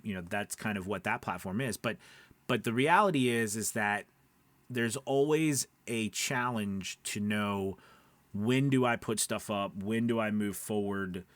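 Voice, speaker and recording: A man's voice: lowish voice, unhurried, a bit bright, no echo. A man giving an informal instructional talk as a coach, emphatic, repeating his points and often checking in with his listeners. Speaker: voice-over coach and platform owner.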